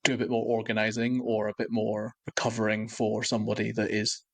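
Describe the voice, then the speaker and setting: A man's voice: fairly low pitch, monotone, a little fast, gravelly. A man co-hosting a sports podcast, chatting casually about football in an analytical, matter-of-fact way.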